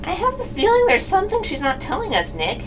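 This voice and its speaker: A woman's voice: very high-pitched, wildly swooping pitch, brisk, heavily acted. A woman voicing a perky, childlike young female sidekick in an amateur puppet show. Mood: eager, nudging. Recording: distant microphone, background noise.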